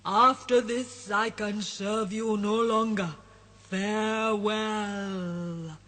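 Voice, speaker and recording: A woman voicing a solemn male genie servant who speaks slowly and gravely. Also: mid-range voice, fairly even intonation, a bit bright, big-room sound.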